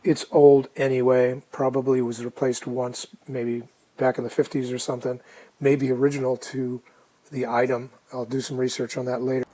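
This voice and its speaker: A man casually narrating a hands-on restoration project, thinking aloud in an informal, unhurried way. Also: mid-range voice, little pitch movement, mellow, low-quality recording.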